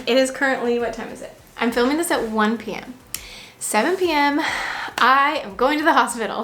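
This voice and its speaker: A woman in her twenties from the United States, chatting casually to camera in a pregnancy vlog, laughing often and pausing to breathe through contractions. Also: fairly high pitch, fairly bright tone. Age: 20s. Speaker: vlogger.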